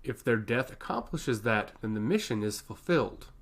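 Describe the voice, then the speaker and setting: A man's voice: on the low side, animated, normal speed. Man playing a solemn, dutiful servant commander. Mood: firm. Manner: deferential, formal, solemn. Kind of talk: fantasy audiobook dialogue.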